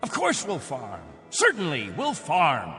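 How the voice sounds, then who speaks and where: High-voiced, impassioned colony captain. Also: male voice, huge pitch swings, a little slow, sharp tone, in a large hall, soft background music, exaggerated voice. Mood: reassuring. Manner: impassioned, preaching, emphatic. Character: desperate, controlling male captain of a stranded colony. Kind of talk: radio drama acting.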